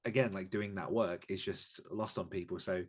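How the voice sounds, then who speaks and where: A man's voice: low-pitched, fairly even intonation, moderate pace, breathy edge. A man talking as an interview guest, giving informal, candid and emphatic advice as an author in a conversational style.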